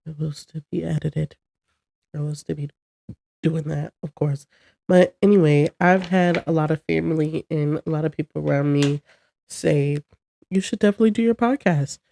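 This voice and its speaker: A woman's voice: low voice, normal speed, vocal fry, pauses often, mellow. A young woman hosting her own podcast in a casual, chatty, rambling way, sounding congested from a cold. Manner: chatty and rambling, talking to camera. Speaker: podcast host. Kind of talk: casual solo chat.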